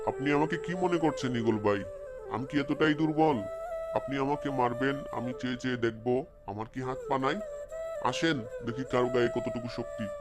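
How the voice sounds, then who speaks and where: A man's voice: medium pitch, normal speed, natural voice. A man voicing a greedy, threatening male crow in a cartoon story. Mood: defiant. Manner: threatening, demanding. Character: bullying, greedy male crow who demands eggs. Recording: music behind the voice.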